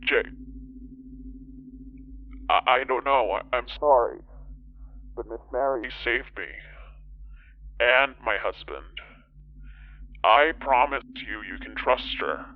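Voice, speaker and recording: A man playing an earnest, worried caller in a horror audio drama, gentle and stammering, heard through a phone filter. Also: medium pitch, slow pace, long pauses, dry recording. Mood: pleading, earnest. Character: earnest, worried male caller relaying urgent guidance. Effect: phone line filter. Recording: thin, narrow phone line.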